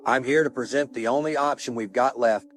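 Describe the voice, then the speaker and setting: A resolute male colonel acted by a man speaks with blunt authority in an audio drama. His voice sits at an ordinary male pitch, and his phrasing is clipped and military. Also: fairly quick, natural voice. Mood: firm, grim. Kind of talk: audio drama radio dialogue.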